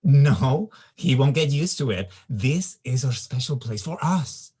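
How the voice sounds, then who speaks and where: A man performs a jealous, possessive boyfriend in a comedy script read. The voice is husky and very animated in pitch, with a defensive, theatrical delivery. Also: a little slow. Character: jealous, insecure, possessive boyfriend with dramatic flair. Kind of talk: screenplay table read.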